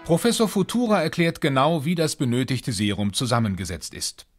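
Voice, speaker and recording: A man's voice: medium pitch, very animated, a little fast, music in the background, natural voice. A man narrating a German audio drama in a scripted storytelling style.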